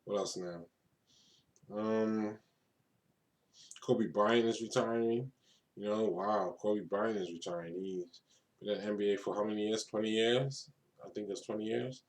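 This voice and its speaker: A man in his thirties from Brooklyn, New York talks off the cuff in a video diary, his voice a little lower than most men's. He rambles in a laid-back way, restarts his phrases, and circles back to repeat his points. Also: steady tone, unhurried, long pauses. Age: 30s. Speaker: vlogger. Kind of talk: casual unscripted monologue to camera.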